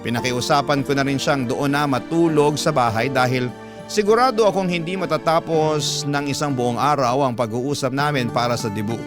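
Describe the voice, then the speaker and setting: A man's voice: mid-range voice, normal speed. A man with a Filipino accent narrating as a radio storyteller, in an emotional, scripted classic radio style. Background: music.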